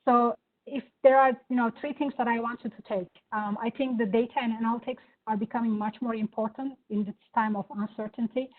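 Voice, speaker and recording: Turkish-accented woman demoing software. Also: on the high side, fairly even intonation, brisk. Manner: enthusiastic, many fillers. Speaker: presenter. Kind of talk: live software demo talk. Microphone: video call. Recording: phone-like online meeting audio.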